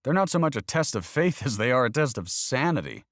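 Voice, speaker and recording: A questioning young boy with a raised, loud voice that pushes back on every claim, as a man acts him out in a storybook reading. His pitch swings up and down as he argues. The sound is dry and close. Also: sing-song, brisk, no echo. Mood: argumentative. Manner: skeptical, argumentative. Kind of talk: audiobook character voice.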